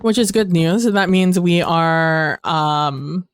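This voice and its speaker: A woman's voice: lowish voice, steady tone, takes it slowly, smooth. A woman streaming a game on a headset mic, chatting casually and rambling with fillers while losing her voice. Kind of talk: casual gaming stream chat.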